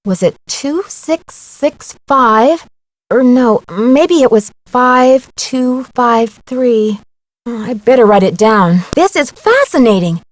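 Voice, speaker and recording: A curious young American girl detective in an adventure game. The voice sits fairly high with lively intonation. The delivery is clearly articulated and earnest, like someone thinking aloud through a mystery. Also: takes it slowly, no room sound. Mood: curious, musing. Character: Nancy Drew, plucky curious young female amateur sleuth.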